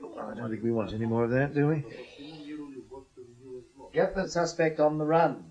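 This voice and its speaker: An actor playing a weary, mocking British double agent in a radio drama, with sardonic, drawn-out emphasis. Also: male voice, on the high side, a little mellow, close to an everyday voice. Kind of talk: naturalistic radio drama acting. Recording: dull radio drama recording.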